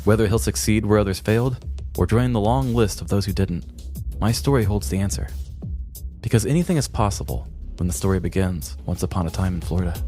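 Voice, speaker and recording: A man co-hosting a podcast, telling a scripted comedic story in a deliberate, mock-grandiose way. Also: lowish voice, dark tone, faint music behind. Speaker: podcast co-host.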